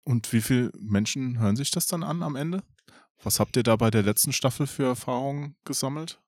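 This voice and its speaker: A man's voice: mid-range voice, brisk, a little mellow, no echo, clean studio sound. A man hosting a podcast, chatting casually and inquisitively as he interviews his guests. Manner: inquisitive, conversational.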